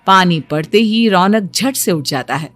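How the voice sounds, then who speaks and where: A woman's voice: on the low side, lively intonation, measured pace, a little hiss or hum. A woman narrating a scripted moral story for a cartoon, in storytelling style.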